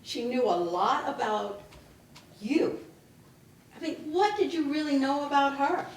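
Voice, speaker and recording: Actress as bitter grieving daughter, slow and swooping. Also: female voice, high-pitched, expressive, slight background noise. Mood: angry, accusing. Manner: biting sarcasm, emphatic dramatic delivery. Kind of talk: staged dramatic reading. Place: indoor live reading at a public library. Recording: echoey room, picked up from a distance.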